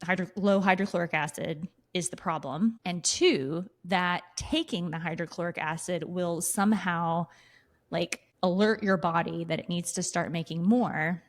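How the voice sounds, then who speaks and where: A woman's voice: lowish voice, unhurried. A woman co-hosting a casual podcast, explaining things step by step in a methodical way. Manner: explanatory, walking through steps methodically. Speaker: podcast co-host.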